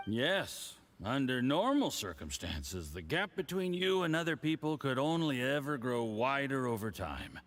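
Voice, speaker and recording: An actor playing a smug, condescending, tipsy diplomat in a video game, speaking in a theatrical, patronizing way. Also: male voice, medium pitch, sing-song, a bit bright, a little hiss or hum. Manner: theatrical, patronizing, drawn-out delivery.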